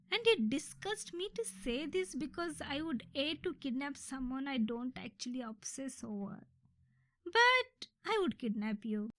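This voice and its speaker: A woman's voice: sits high, fairly bright tone, no room sound, full character voice. A woman playing an obsessive, melodramatic yandere sister in a comedic ASMR audio roleplay, rambling and exasperated as she talks to her captive. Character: indignant, obsessive yandere sister, theatrical comic kidnapper.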